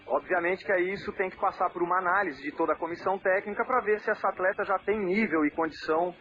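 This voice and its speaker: A man from southern Brazil who coaches a national team, answering interview questions in a measured way, with frequent fillers and restarts. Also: high-pitched, bright, forceful tone, faint music behind. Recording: muffled lo-fi audio with faint noise.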